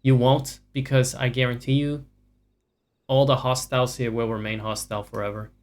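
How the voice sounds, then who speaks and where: A man's voice: medium pitch, level tone, moderate pace. A man livestreaming a video game, giving casual commentary in a profane, sarcastic, ranting way. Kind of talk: casual live gameplay commentary.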